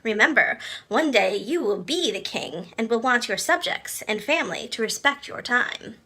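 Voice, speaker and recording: Woman putting on a lively bright royal nursemaid. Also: on the high side, normal speed, breathy edge, voice pushed up, full character voice. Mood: rousing, brisk. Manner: formal, storybook courtly phrasing. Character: veiled lady caretaker, gently bossy, teasing, affectionate royal nursemaid.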